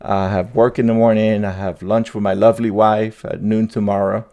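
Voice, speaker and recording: A man's voice: lowish voice, level tone, moderate pace, dark tone. A man hosting a tech video, talking informally through a demo and thinking aloud as he goes, often repeating his phrases. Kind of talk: casual tutorial screen demo.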